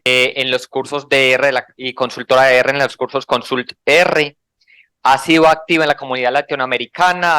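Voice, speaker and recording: A man introduces the next speaker at an event, pausing often and switching back and forth between Spanish and English. His voice is bright and crisp. The sound is dry and close. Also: medium pitch, dry recording. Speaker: event host.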